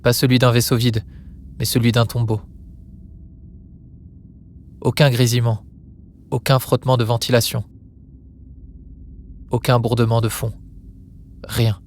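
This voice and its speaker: A man's voice: medium pitch, flat delivery, unhurried, pauses often, slight background noise. A man narrating dramatic science-fiction audio fiction in a solemn, suspenseful manner, in short weighted phrases. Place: studio. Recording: studio narration over music bed. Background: music.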